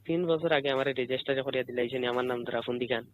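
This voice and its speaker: A man's voice: mid-range voice, fairly even intonation, fast talker. A man with a Sylheti Bengali accent talking casually and insistently on a private phone call, asking questions and repeating himself. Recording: muffled noisy phone call recording.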